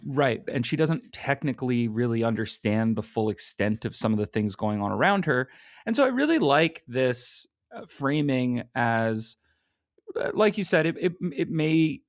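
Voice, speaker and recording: A man co-hosting a podcast, chatting casually and thinking aloud in a halting way. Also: medium pitch, sing-song, no echo, studio quality. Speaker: podcast co-host. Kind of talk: casual comic book discussion.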